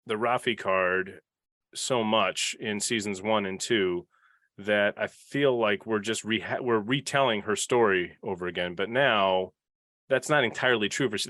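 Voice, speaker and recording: A man's voice: lowish voice, bright, forceful tone, no echo, clean studio sound. A man in casual panel discussion, tentative and questioning his own opinions as he goes. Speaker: podcast panelist.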